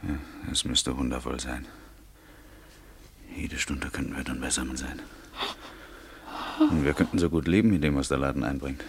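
An actor in a German radio play playing an anxious, cautious secret lover of a married woman, hushed, hesitant and urgent. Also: rumbling low, steady tone, normal speed, pauses often, slight background noise. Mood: placating.

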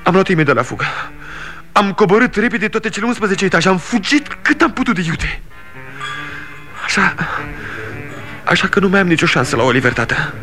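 A man's voice: sits high, sing-song, pauses often, sharp tone, soft background music, exaggerated voice. An actor playing an ashamed young grown son in a 1960s radio drama, urgent and pleading with his father.

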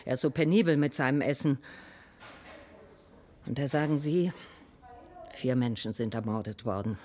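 A woman's voice: a bass voice, moderate pace, mellow, a little hiss or hum. An actress in a radio crime drama playing an indignant, shaken woman witness, querulous and brusque. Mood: shaken, recounting. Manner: querulous, brusque, easily agitated.

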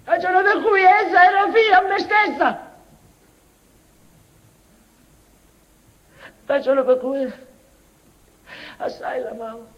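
A woman's voice: sits high, dull audio, natural voice. An actress playing a mad, childlike, tormented mother in a tragicomic stage monologue, speaking a broken invented dialect with obsessive repetitions and stammered restarts. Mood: tender.

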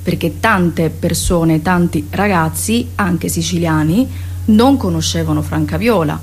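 A woman's voice: lowish voice, a little slow, slight background noise. A woman, a stage actress, talking spontaneously in a radio interview, correcting herself and digressing as she goes.

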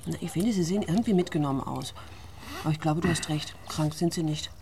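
A woman's voice: sits low, fast talker, noisy background. A woman playing a worried, doubtful adult watching over children in a German radio drama. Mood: doubtful, concerned.

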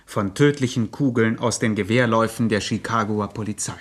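An actor playing a pedantic chronicler, giving mock-solemn scripted narration in a satirical radio play. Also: male voice, lowish voice, fairly even intonation, brisk, slightly rough. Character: pedantic male chronicler narrating a gangster saga.

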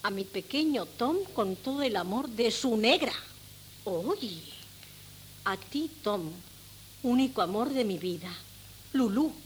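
A woman's voice: fairly high pitch, expressive, slow pace, pauses often. An actress playing a fretful, doting middle-aged mother in a 1970s radio drama, with theatrical, emotional delivery. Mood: shocked. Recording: noisy old cassette radio recording with hum.

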